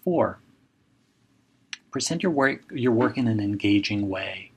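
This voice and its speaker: Gravelly middle-aged man. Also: on the low side, lively intonation. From Mexico. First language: Spanish. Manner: measured, advising, direct imperatives. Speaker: journal editor and professor. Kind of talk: recorded seminar lecture.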